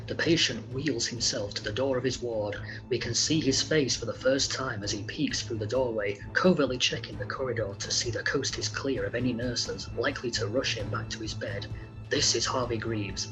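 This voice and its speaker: A man's voice: mid-range voice, rough recording. A man reading screenplay scene directions as dramatic narration. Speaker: script reader. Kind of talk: scripted narration, screenplay read-through.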